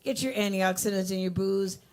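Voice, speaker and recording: A woman with a low voice hosting a live open mic in a coffee bar, teasing and hyping the crowd. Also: steady tone, brisk. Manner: playful, teasing, hyped-up announcing. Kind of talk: live emcee patter.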